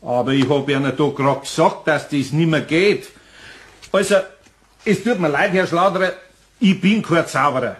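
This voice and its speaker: An actor playing a grumbling but good-hearted older master carpenter in a children's radio play, folksy and plain-spoken, with a Bavarian accent. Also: male voice, fairly high pitch, moderate pace, close to an everyday voice. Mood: exasperated, stubborn.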